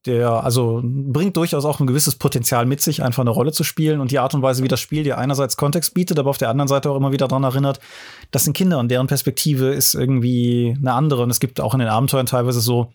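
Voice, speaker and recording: A man's voice: mid-range voice, fairly even intonation, fast talker, a little mellow. Guy in his 40s, mid voice, chatty and explaining stuff on a podcast. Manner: fluent, explanatory, fills in with casual fillers. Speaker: podcast panelist. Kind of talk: casual discussion.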